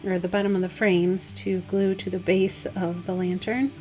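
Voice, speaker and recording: A woman with a slightly hoarse, dry voice, casually talking through a DIY craft project step by step. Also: medium pitch, normal speed, faint music behind. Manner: relaxed, chatty, step-by-step instructions with fillers. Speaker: craft vlogger. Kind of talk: casual DIY tutorial voice-over.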